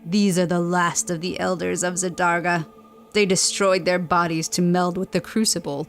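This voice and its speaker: An actress playing a grave, defiant survivor of a lost civilization in an audio drama, giving solemn, forceful warnings. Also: female voice, lowish voice, level tone, moderate pace, soft background music, natural voice. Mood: grave, bitter. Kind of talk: scripted audio drama acting.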